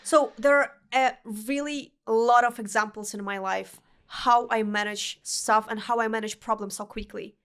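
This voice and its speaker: Young Russian-accented woman, slightly high. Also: fairly even intonation, fairly bright tone, clear, resonant tone. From Uzbekistan. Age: young adult. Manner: earnest, halting non-native phrasing with restarts. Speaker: podcast guest. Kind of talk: casual interview chat.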